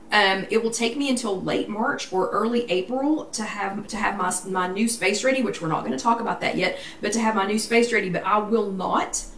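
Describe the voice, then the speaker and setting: Chatty woman host in an echoey room. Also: medium pitch. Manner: chatty, emphatic, repeats herself. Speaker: vlog host. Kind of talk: casual live chat. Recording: echoey room, distant mic, faint noise.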